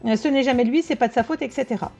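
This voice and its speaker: A woman medium giving a casual reading to her viewers, blunt and slangy, with quick asides. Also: fairly high pitch, creaky, darkish tone. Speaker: tarot and psychic medium. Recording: dull lo-fi sound with faint music.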